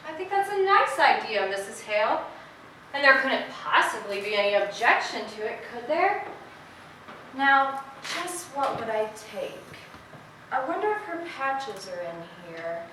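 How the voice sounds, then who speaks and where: A woman playing a proper, gentle sheriff's wife in a rural period stage play, polite and hesitant in manner. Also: a little high, very animated, unhurried. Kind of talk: stage play acting, early 1900s rural American drama. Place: stage performance recorded from audience distance. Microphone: distant camera. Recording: echoey hall from far off, noisy.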